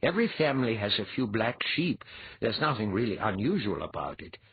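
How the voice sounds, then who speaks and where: A wry, seasoned mentor in an audiobook tale, played by a male narrator. His delivery is dry and commanding, with a very husky tone and animated pitch. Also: a little fast, phone-quality sound, heavily acted. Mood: dry, calm. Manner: dry, unruffled, instructive.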